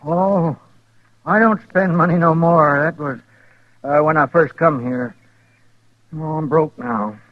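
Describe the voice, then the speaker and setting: An actor playing a meek, lonely rancher with a homespun, hesitant manner and a rural Western American accent in an old radio drama. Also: male voice, on the high side, slow, long pauses, no room sound. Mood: guarded. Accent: rural American West. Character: folksy, lonely male rancher, meek old-West prospector. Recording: dry, muffled old radio recording.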